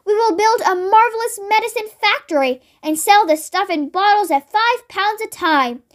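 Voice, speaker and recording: A child voicing an excitable, impatient farmer father in a storybook, exclaiming and bossy. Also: very high-pitched, moderate pace, voice pushed up. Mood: grandly thrilled.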